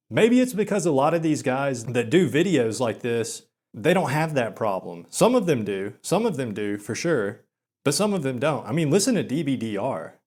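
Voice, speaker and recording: A young man with a Southern US accent in a gloomy, self-deprecating monologue, talking slowly and flatly with filler words and stammers. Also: medium pitch, slightly rough. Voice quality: slow, monotone delivery with filler words and stammers. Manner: gloomy, repetitive, resigned. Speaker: video essayist.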